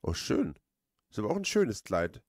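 A man giving casual gaming commentary, halting and repeating words as he rambles. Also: fairly low pitch, wildly swooping pitch, dry recording, clean studio sound. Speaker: let's play host.

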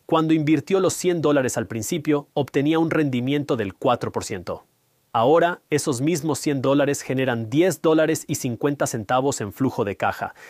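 A man's voice: mid-range voice, no room sound, studio quality. Man giving a direct finance lesson. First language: Punjabi. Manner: direct, explanatory, addresses viewer. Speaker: finance educator. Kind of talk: whiteboard finance lesson, dubbed voice-over.

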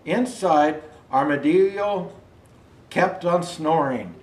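An older man reading a children's picture book aloud as a storyteller, in an unhurried, expressive way. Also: fairly high pitch, a little hiss or hum. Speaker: storyteller dressed as Santa Claus. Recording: roomy indoor echo.

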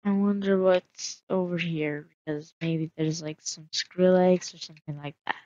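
A woman's voice: lowish voice, steady tone, normal speed, dark tone, dry recording, highs cut off. A woman casually narrating her solo game roleplay, musing aloud with hesitant fillers.

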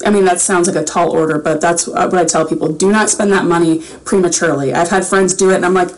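A woman's voice: lowish voice, fairly even intonation, quick pace, rough recording. Chatty woman rambling on a livestream. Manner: rambling, chatty, laughs between phrases. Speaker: voice actor answering fan questions. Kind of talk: casual livestream Q&A. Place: small home room with a framed picture behind.

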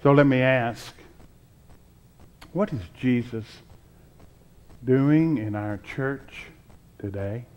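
An American man in his sixties preaching a sermon as a pastor, deliberately, in short phrases with frequent pauses. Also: medium pitch, dull audio. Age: 60s. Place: church sanctuary, at a pulpit before a choir.

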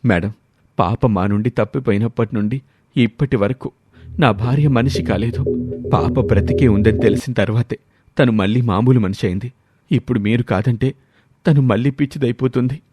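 A man's voice: medium pitch, normal speed, darkish tone, breathy edge, no echo, close to an everyday voice. Man acting an anxious, pleading father. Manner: polite, urgent. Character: anxious pleading younger father, slyly persuasive. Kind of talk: dramatised moral story.

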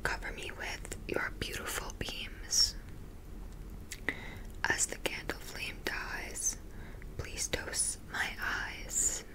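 A young woman whispering breathily in an ASMR roleplay, gently teaching as a witch in an unhurried way. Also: rough recording. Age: young adult.

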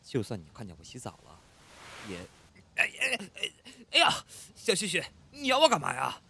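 A man acting a lively, caring, hot-tempered young roommate. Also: on the high side, normal speed, vocal fry, a little hiss or hum, full character voice. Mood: exasperated. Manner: expressive, exclamatory. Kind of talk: Chinese audio drama acting.

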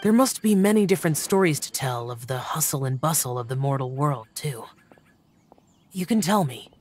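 A voice actor playing an aloof, reserved young immortal guardian in a video game, quiet and stiff. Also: male voice, a little high, animated, normal speed, slight background noise, close to an everyday voice. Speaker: game character.

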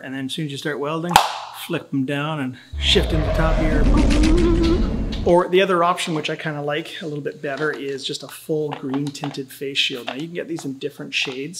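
A man's voice: a little high, gravelly, noisy background. A man, teaching welding safety in a casual, joking way in a welding shop. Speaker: welding instructor. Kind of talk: instructional video.

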